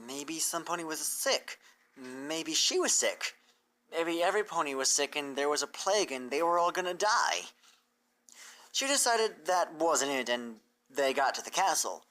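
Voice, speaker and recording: Low-voiced woman reading a story aloud. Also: lively intonation, a little slow, a bit bright. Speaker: fan fiction reader. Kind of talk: scripted narration.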